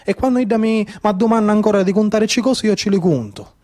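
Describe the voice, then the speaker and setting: A man's voice: high-pitched, a little fast, a little mellow. A man in his thirties with a Sicilian accent, performing a colloquial, emphatic theatrical monologue in dialect. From Sicily, Italy. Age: 30s. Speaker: stage storyteller and actor.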